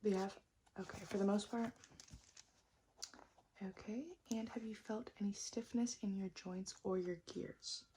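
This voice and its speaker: A woman doing a soft-spoken, often whispered ASMR roleplay as a technician, gently reassuring and repeating words under her breath, close to the mic. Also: medium pitch, fairly even intonation, a little slow, pauses often, a little hiss or hum. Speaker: ASMR performer role-playing a robot technician.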